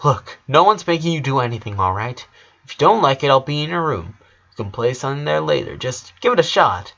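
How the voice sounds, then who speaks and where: A man's voice: mid-range voice, sing-song, fairly quick, vocal fry, fairly bright tone, muffled recording. A man voicing a caring teenage older sister, encouraging and matter-of-fact, reading a story. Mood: coaxing.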